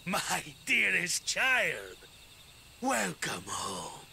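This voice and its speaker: A man's voice: high voice, huge pitch swings, extremely slow, gravelly, sharp tone, a little hiss or hum, exaggerated voice. An actor playing a domineering, scornful father in a video game, with archaic, theatrical speech that is grandiose and cruel. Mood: silky, commanding. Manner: archaic, theatrical speech swinging from silky to raging.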